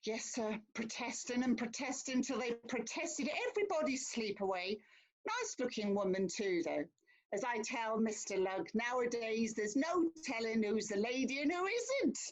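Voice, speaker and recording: A woman's voice: fairly high pitch, expressive, moderate pace, rough recording. A woman playing a chatty, deferential but cheeky police sergeant in a theatrical comedy reading. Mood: gossipy. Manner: garrulous, respectful, drily teasing.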